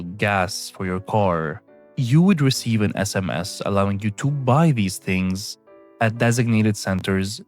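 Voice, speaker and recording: A young man from Syria narrates a scripted video essay in a very low voice. His delivery is measured and solemn. Faint music plays beneath him.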